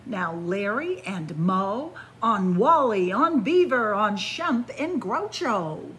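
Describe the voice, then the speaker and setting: Expressive, slow-paced woman reading a storybook. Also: fairly high pitch, a little hiss or hum, poor audio. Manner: animated, deliberate storytelling delivery for children. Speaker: library story-time reader. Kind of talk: expressive picture-book read-aloud. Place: indoors in a library among bookshelves.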